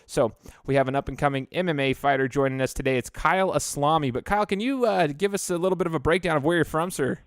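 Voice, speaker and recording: Chatty podcast host, dry close mic. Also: male voice, a little high, fast, few pauses, clear, resonant tone, no echo. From Idaho. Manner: chatty, rambling with restarts. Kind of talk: casual interview.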